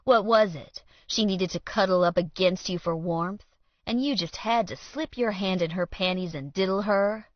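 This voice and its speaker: Woman playing a smug jealous man, loud quick taunts, low-ish voice, dull dry recording. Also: animated, no room sound, close to an everyday voice. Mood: taunting. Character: sneering jealous male councilman, smug and spiteful.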